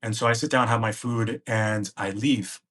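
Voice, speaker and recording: A man's voice: lowish voice, moderate pace, a bit bright. A man, a podcast guest, recounting a personal travel story in present tense and acting out the dialogue he remembers. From United States.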